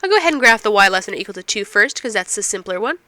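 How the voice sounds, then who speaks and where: A woman's voice: medium pitch, big pitch swings, very fast, sharp tone, no echo. A woman instructor explaining math step by step, asking and answering her own questions, while getting over a cold. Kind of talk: recorded math lecture.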